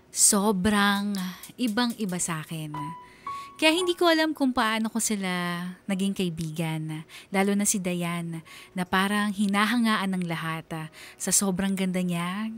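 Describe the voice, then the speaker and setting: An introspective young woman narrates her life in a radio drama, played by a woman. Her voice sits at a middle pitch, reflective and confiding with self-doubt, over faint music. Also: lightly acted. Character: introspective, self-doubting young female letter-sender telling her story. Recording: music bed behind narration.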